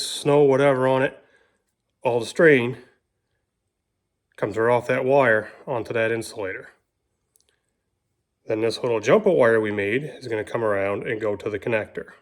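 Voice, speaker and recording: A man's voice: medium pitch, moderate pace, long pauses. A man talking casually and colloquially through a hands-on do-it-yourself job as he works, hosting his own how-to video. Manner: folksy, colloquial. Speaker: host of a DIY how-to video. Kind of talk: casual hands-on tutorial talk while working.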